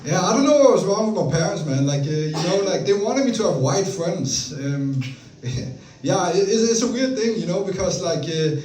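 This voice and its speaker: A man with a Danish accent performing a stand-up comedy routine in a casual, halting, chuckling way. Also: high-pitched, lively intonation, darkish tone. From Denmark. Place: live comedy venue with audience. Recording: roomy, distant mic, audience noise.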